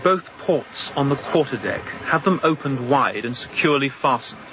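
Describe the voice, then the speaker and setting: A man's voice: medium pitch, animated, moderate pace, close to an everyday voice. Man acting commanding young sea captain, lo-fi drama. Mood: stern, commanding. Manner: terse, authoritative, gives clipped orders. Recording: dull lo-fi radio drama, faint noise.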